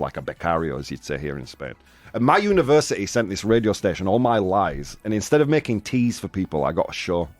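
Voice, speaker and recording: Chatty Yorkshire man with a lively pitch. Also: dry recording. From England. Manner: chatty, self-deprecating, laughs often. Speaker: podcast host. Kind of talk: casual chat.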